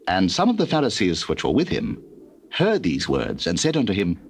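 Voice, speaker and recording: A man reads scripture as a formal storyteller. His voice is fairly low with lively rises and falls, recorded on a noisy old cassette. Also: normal speed. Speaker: narrator. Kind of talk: scripted dramatized Bible narration.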